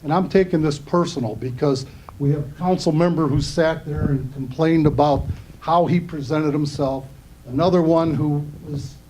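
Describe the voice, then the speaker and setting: A man's voice: a little high, warm tone, slight background noise, rough recording. An older man giving public comment at a council meeting, rambling and earnest, a bit aggrieved. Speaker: resident speaking at public comment.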